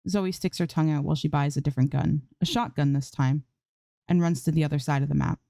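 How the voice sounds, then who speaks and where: A woman's voice: sits low, quick pace, creaky, warm tone, no room sound. A woman reading fan fiction aloud as an amateur narrator, in scripted storytelling style.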